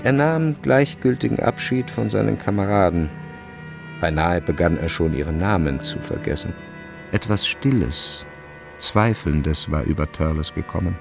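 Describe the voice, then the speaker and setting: An actor as the measured literary narrator of a radio play, delivering scripted prose from a novel. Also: male voice, low voice, animated, vocal fry, over music, exaggerated voice.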